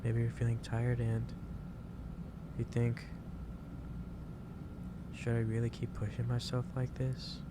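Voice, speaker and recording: A man playing a gentle, concerned office boss in an ASMR roleplay, speaking haltingly and repeating his phrases. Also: mid-range voice, monotone, heavy background noise. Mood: gentle lecturing. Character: gentle, concerned male office boss lecturing an overworked employee. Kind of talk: improvised ASMR roleplay addressed to the listener.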